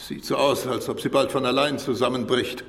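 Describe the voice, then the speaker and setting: Cautious police chief with a gravelly creak. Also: male voice, mid-range voice, steady tone, brisk, a bit bright. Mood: observant. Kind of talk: German radio drama acting. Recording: studio radio play with clear background noise.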